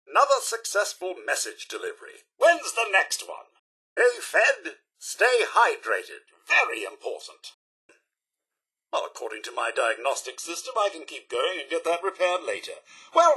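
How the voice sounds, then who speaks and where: A man playing a polite, fussy robot butler in a video game, with a British accent, a raspy voice and prim, theatrical, upbeat manners. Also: on the high side, bright, forceful tone, exaggerated voice. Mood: chipper, eager. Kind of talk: video game character acting.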